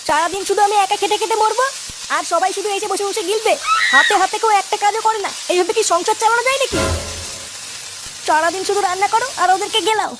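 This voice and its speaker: A woman's voice: extremely high pitch, quick pace, background noise. A woman voicing a nagging, overworked village housewife in a cartoon, scolding and complaining. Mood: exasperated. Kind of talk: cartoon voice acting.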